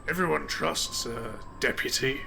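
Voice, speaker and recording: A man playing a wry, probing, quietly threatening lawman in a noir audio drama. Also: mid-range voice, unhurried, gravelly, background noise.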